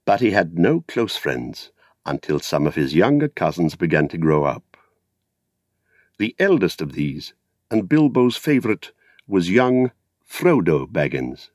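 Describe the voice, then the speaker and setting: An older British man narrating a literary story in a measured, theatrical way. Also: fairly low pitch, a little mellow, no echo, clean studio sound. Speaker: audiobook narrator.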